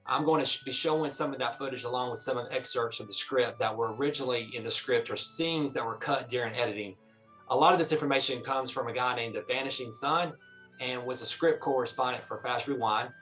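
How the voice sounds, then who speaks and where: A man hosting a film-fan video series, talking casually in a halting, rambling way and often correcting himself. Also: mid-range voice, a bit bright, low-quality recording.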